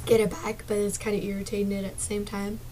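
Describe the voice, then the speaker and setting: A young woman streaming a game from her small dark bedroom, chatting casually to viewers with a sore, partly lost voice and drifting into mumbles. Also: mid-range voice, completely flat delivery, fast, very noisy. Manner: soft-spoken, drifts into whispers and mumbles. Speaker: gaming streamer.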